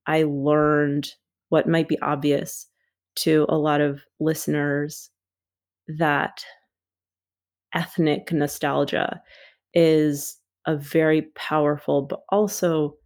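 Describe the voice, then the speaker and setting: Woman author guest, low and even-toned, unhurried and reflective. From United States. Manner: thoughtful, measured, pauses often. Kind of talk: reflective interview talk.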